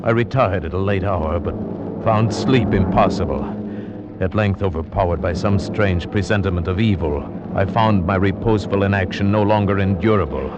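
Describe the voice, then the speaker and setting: A man playing a solemn, deep-voiced gentleman narrator. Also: heavy background noise, lightly acted. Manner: solemn, measured storytelling. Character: nervous, earnest gentleman narrator and friend. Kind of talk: old-time radio acting. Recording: muffled old radio recording with music.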